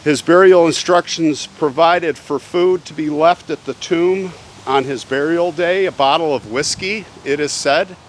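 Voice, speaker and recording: A man's voice: a little high, unhurried, slight background noise, poor audio. A man telling a story on location as a video host, in a theatrical, suspenseful way, repeating phrases for effect. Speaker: host of a cemetery history video channel. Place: outdoors, walking in a cemetery.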